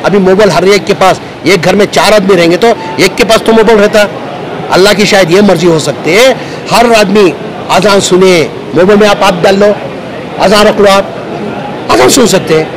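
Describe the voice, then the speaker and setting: Bangalore dakhni guy talking to press, really high voice, repeats himself, noisy street. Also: normal speed. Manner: colloquial, repetitive, explanatory. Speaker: politician. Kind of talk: informal speech to press. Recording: noisy outdoor urban surroundings.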